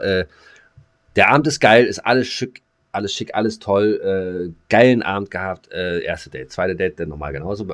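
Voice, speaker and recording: A man hosting a podcast in casual, joking banter, rambling colloquially and often repeating his words. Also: sits low. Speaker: podcast host.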